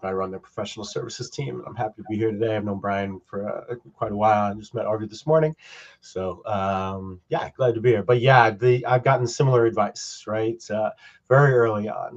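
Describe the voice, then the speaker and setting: A man around fifty, a guest on a casual interview, telling stories in a reflective, measured way. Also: lowish voice. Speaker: guest, services manager. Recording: dull lo-fi call audio.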